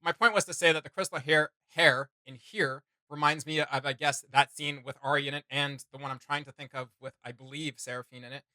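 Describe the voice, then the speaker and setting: Bright-voiced man, rambling fan commentary. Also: a little high, fairly even intonation, a little fast, few pauses, no room sound. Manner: rambling, slangy, enthusiastic fan talk. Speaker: reaction video host. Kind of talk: casual unscripted reaction commentary.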